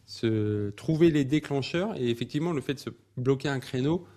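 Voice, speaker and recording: A man's voice: medium pitch, lively intonation, normal speed, mellow. A man hosting a podcast interview who speaks in an encouraging way, summing up and restating his guest's points. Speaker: podcast host. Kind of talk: casual interview talk.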